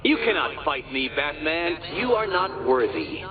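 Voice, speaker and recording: Pompous genius game villain, wildly swooping delivery, condescending, vindictive, thin band-limited sound, loud music behind. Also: male voice, fairly high pitch, lively intonation, measured pace, narrow, tinny audio. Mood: mocking, condescending. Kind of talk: video game villain acting.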